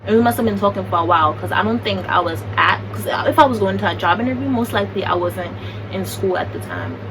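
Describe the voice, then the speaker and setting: A young American woman telling a story in a chatty, animated, slangy way. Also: medium pitch, fast, over music. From United States. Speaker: vlogger. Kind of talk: casual storytime. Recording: distant mic with background noise.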